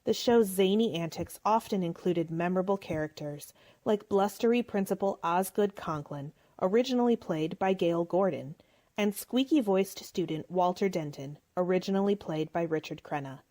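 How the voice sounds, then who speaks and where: A woman's voice: on the low side, normal speed, warm tone. A woman host giving a scripted introduction and narration for a radio play.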